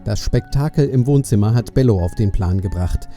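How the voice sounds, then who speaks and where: Very dark, low-voiced male storyteller. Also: brisk, soft background music. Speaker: narrator. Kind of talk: scripted storytelling for a children's audio play.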